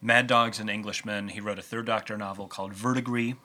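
A man's voice: on the low side, steady tone, brisk, sharp tone. A man hosting a casual fan discussion, explaining with nerdy enthusiasm. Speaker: podcast host.

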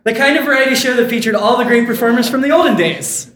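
A man's voice: extremely high pitch, animated, fast, over a noisy crowd, heavy background noise. Man, live variety show co-host, back-and-forth banter, very roomy echoing sound. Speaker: podcast co-host. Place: live stage at a comic shop. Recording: echoey live venue.

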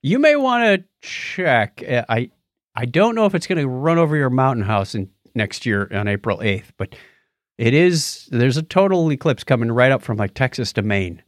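American man, podcast host, casual explanatory chat, mid-range pitch, dry close recording. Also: big pitch swings, normal speed, dry recording.

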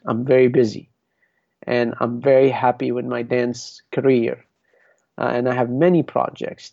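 A man's voice: medium pitch, creaky, dark tone, no echo, dull audio. A man from Lebanon, a dance artist, talking reflectively in a podcast interview, with many restarts. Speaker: guest, belly dance artist.

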